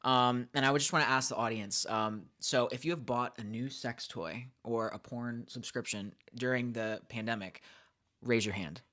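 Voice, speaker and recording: A man in his thirties co-hosting a casual podcast, chatty and quick to laugh as he sums up an article. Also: mid-range voice, steady tone, pushed and bright. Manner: chatty, laughs often, reads out survey findings. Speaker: podcast co-host.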